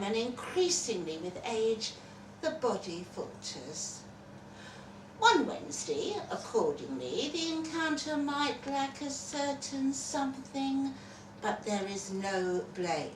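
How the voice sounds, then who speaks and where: A woman performs a short story aloud with wry, theatrical delivery, taking her time over the phrases. Her pitch moves in lively swoops. She is recorded a little away from the mic in an echoey room. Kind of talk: dramatic literary story reading to a live audience. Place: live festival reading in a roomy indoor space. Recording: roomy live event recording, distant mic, faint noise.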